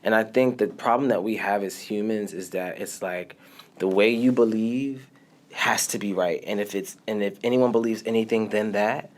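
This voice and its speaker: A man, a podcast guest, speaking in a casual interview with animated storytelling that often laughs and trails off quietly. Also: on the low side, moderate pace.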